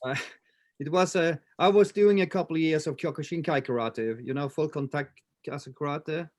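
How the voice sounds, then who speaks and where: A man in his fifties with a Swedish accent, talking in a casual interview with many pauses, fillers and restarts. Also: a little high, a little mellow. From Sweden. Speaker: martial arts instructor, guest.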